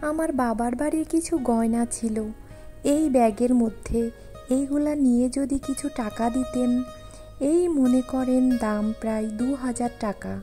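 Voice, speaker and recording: Woman playing a high-voiced clever village wife. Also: moderate pace, warm tone, soft background music, lightly acted. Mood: coaxing, meek. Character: clever, determined young village wife, outwardly sweet. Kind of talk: cartoon folk tale acting.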